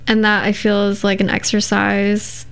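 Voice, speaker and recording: A woman, a poet, talking in a relaxed interview and choosing her words thoughtfully, with many fillers. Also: medium pitch, moderate pace, creaky, clear, resonant tone. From United States. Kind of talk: casual interview conversation.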